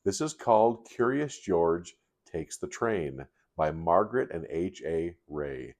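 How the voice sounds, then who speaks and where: A man reading a children's story aloud in an unhurried, deliberate storytelling style. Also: low voice, lively intonation, a little mellow.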